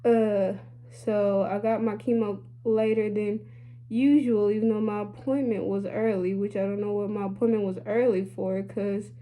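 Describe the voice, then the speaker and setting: A woman's voice: medium pitch, steady tone, normal speed, mellow. A woman in her late twenties talking candidly to her viewers in a casual vlog, chatty and sassy, calling them y'all. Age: 20s. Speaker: beauty vlogger.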